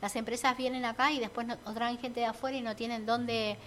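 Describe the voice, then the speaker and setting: A talkative woman with an Argentine Spanish accent shares her views in casual chat. Her voice sits a little high and she muses with fillers and restarts. Faint ambient noise hums behind her. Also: fairly even intonation, brisk, fairly bright tone. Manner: chatty, reflective, many fillers and restarts. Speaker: entrepreneur guest.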